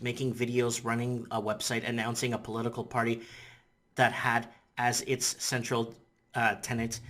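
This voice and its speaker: A man's voice: medium pitch, fairly bright tone. A middle-aged man whose first language is Portuguese, rambling through opinionated casual commentary in a vlog and often repeating himself. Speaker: vlogger.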